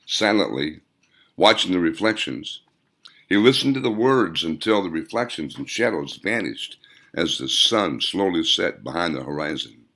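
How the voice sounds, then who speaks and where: A man's voice: sits low, animated, moderate pace, pushed and bright. A man reading an audiobook story in a dramatic, descriptive storytelling manner. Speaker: author reading own audiobook. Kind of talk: scripted audiobook narration.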